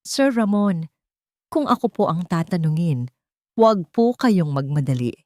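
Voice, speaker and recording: A woman's voice: fairly low pitch, animated, a little slow, warm tone, no room sound, studio quality. A woman playing an elderly housemaid, cautious and deferential, in a narrated drama story. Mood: cautioning. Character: wary, respectful elderly housemaid giving warnings.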